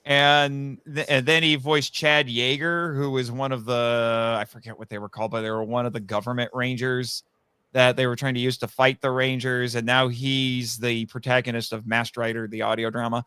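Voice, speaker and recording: Man, bright forceful voice, clean tone, dry sound, casual podcast guest, eager rambling explainer. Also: medium pitch, little pitch movement, normal speed, clear, resonant tone, dry recording. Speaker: podcast guest, audio drama writer. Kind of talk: animated casual fan discussion.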